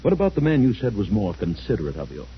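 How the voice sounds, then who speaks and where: A man's voice: lowish voice, wildly swooping pitch, quick pace. A man playing a blunt, stern middle-aged businessman in an old-time radio drama, with a questioning manner. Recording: dull, faintly noisy old radio recording.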